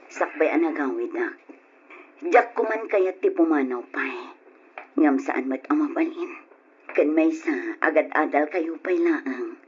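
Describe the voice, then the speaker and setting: A dull, muffled old radio drama recording of a betrayed wife and mother. She speaks slowly and emotionally with an Ilocano accent, her voice husky and full of anguish. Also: sits low, exaggerated voice. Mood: sad, gentle. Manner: emotional, tearful, drawn-out delivery.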